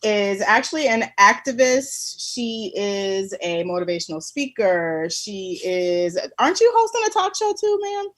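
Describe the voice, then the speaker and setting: A woman's voice: medium pitch, big pitch swings, a little slow, hardly any pauses. A woman co-hosting a casual live talk stream over a video call, bubbly and laughing often. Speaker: talk show co-host. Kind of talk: casual livestream chat.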